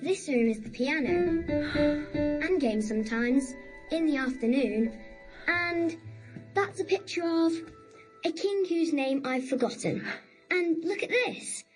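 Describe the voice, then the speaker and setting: A woman playing a lively, imperious young girl in an audio drama, with blunt, playful, childlike chatter. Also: sits high, takes it slowly, pauses often, poor audio. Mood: bossy, playful. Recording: music behind the voice.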